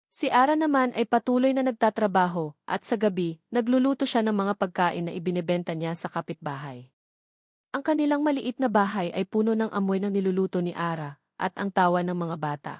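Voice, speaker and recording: A woman's voice: mid-range voice, lively intonation, fairly quick, a little mellow, no room sound, studio quality. A woman reading a scripted romantic drama as a storyteller, in narration style.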